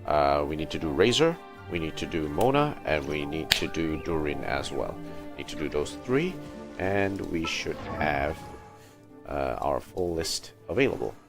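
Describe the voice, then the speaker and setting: A man's voice: rumbling low, very animated, a little slow. A man livestreaming a video game with casual commentary, thinking aloud in short remarks. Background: game music.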